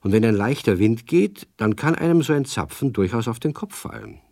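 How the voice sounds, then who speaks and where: A man narrating a children's radio play in a measured storytelling manner. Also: medium pitch, expressive, creaky, a little mellow, dry recording, studio quality.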